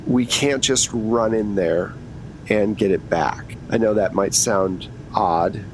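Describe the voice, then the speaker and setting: A man's voice: slow, poor audio. A man playing a composed, businesslike female FBI agent in her thirties in a tabletop game, matter-of-fact and persuasive. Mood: guarded, explaining. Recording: noticeable low rumbling background noise.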